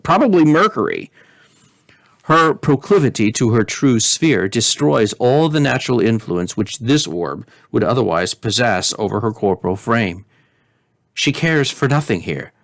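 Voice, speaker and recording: Storybook philosopher played by a man: flighty, excitable spiritualist, urgent emphatic declaiming, medium pitch, dry recording. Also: vocal fry, no echo. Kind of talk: storybook character reading.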